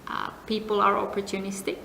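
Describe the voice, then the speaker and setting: Middle-aged woman from Finland, measured interview answers, slightly gravelly tone, recorded in an echoey room. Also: medium pitch, flat delivery, pushed and bright. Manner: measured, frequent "uh" pauses, trailing off to a near whisper. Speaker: interviewee, compliance advisor. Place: seminar venue. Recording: echoey room, faint noise.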